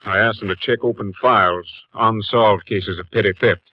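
Husky, terse police captain played by a man. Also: fairly low pitch, fairly even intonation, moderate pace, dry recording, natural voice. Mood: businesslike. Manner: terse, businesslike, sceptical. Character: gruff, weighing male police captain, senior lawman. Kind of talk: 1950s radio drama acting. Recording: muffled 1950s radio recording.

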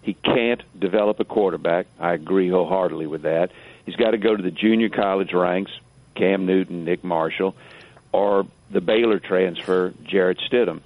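Deep voice guy calling into sports radio, tinny phone sound, blunt emphatic takes. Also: moderate pace. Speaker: radio show guest, sports oddsmaker. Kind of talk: opinionated sports radio commentary.

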